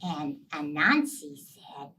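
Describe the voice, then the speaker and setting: A woman telling a children's story as a library storyteller, explaining things simply in animated phrasing. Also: low-pitched, slow, far from the mic, rough recording.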